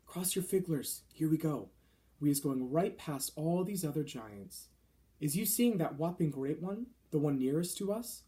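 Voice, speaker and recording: A man voicing a gentle, nervous giant in a children's storybook, speaking softly with quaint muddled grammar and nonsense words. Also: fairly high pitch, animated, moderate pace, close to an everyday voice. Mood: hushed warning.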